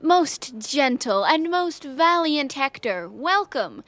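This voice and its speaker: A young woman plays a shrewd, courteous Greek lord in a Shakespeare audio drama. The voice is fairly high and slow, formal and measured in its phrasing. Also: very animated, a bit bright, full character voice. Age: young adult.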